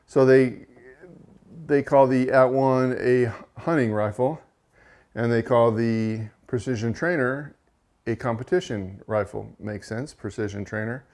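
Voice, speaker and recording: Monotone man reviewing rifles. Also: medium pitch, measured pace. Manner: relaxed, with frequent fillers and self-corrections. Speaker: rifle reviewer and channel host. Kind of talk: casual product review.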